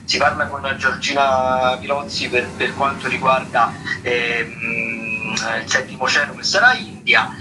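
A man giving an informal radio rundown as a theatre critic, halting and digressive, rephrasing as he goes. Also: mid-range voice, little pitch movement, background noise. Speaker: radio theatre critic reviewing and listing shows. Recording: distant radio mic with music behind. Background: music.

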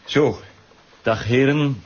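An actor playing a guarded, formally courteous director of a women's shelter in a radio drama, with a Flemish accent and measured speech. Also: male voice, medium pitch, slight background noise, natural voice. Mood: guarded politeness. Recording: dull lo-fi radio drama recording.

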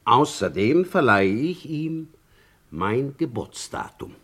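An actor playing a pompous, self-satisfied English gentleman with declamatory delivery in a 1960s German radio crime comedy. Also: medium pitch, big pitch swings, slow pace, slight background noise. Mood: grandiose. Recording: old 1960s radio play recording.

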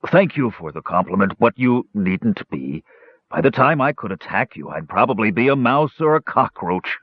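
A man voicing a cursed, sorrowful old shapeshifting wizard lord in a fantasy audiobook, slow and despairing. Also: fairly high pitch, wildly swooping pitch, gravelly, slightly rough, no echo, voice pushed up, exaggerated voice. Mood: sad. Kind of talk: dramatic fantasy audiobook character voice. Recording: slightly dull, lo-fi audio.

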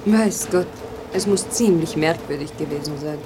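An actress playing a weary, irritable wife in a radio drama, with a snappish manner. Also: female voice, deep voice, normal speed, background noise.

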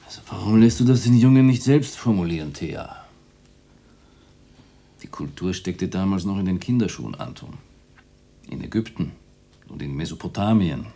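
An actor playing a middle-aged, scholarly and indecisive father in a radio drama, husky and hesitant. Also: sits low, lively intonation, normal speed, long pauses, warm tone, full character voice. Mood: calm, pedantic. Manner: hesitant, ponderous.

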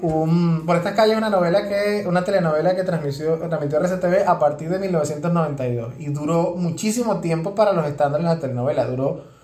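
A man's voice: a little high, fast talker, a little mellow. A Venezuelan man co-hosting a casual podcast, explaining things in a hesitant way and often repeating words as he goes. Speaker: podcast co-host. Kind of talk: casual conversational commentary. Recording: roomy echo, music behind.

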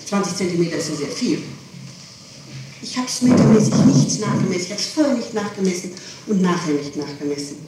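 A woman's voice: on the low side, animated, a little fast. A woman answering questions in an interrogation, defensive and insistent, often repeating herself. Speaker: interrogated witness. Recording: distant, very echoey, noisy archival tape.

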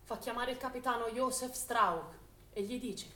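A woman's voice: fairly high pitch, moderate pace, bright, forceful tone. A woman, a stage actor, giving dramatic scripted narration in a theatrical storytelling manner. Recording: echoey theatre recording with clear noise.

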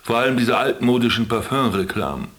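A man's voice: mid-range voice, brisk, a bit bright, lightly acted. A man in a drama playing a weary, complaining patient longing for the outside world. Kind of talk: stage drama acting.

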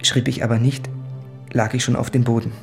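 Man acting an anguished, gravelly letter writer. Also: medium pitch, little pitch movement, a little fast, music in the background, lightly acted. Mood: self-deprecating. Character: anguished man of almost thirty writing confessional letters. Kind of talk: literary radio drama monologue.

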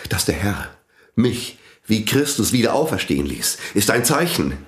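A man's voice: on the low side, very animated, moderate pace, reverberant. An actor in a radio play playing a pompous, fanatical priest who preaches his invented world language in an imperious way. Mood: indignant, preaching.

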